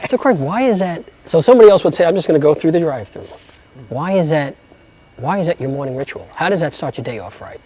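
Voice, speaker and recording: Fast-talking, husky male interviewer. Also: a little high, animated, a little mellow. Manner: quick, brief affirmations. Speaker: interviewer, host. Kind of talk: interview questions.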